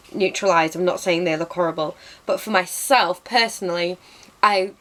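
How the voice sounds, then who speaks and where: A young woman, a little hoarse from losing her voice, chatting casually and self-mockingly through a makeup tutorial at her desk. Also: lowish voice. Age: young adult. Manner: chatty and self-mocking, lots of ums and restarts. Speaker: beauty vlogger. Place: bedroom with bookshelves, indoors.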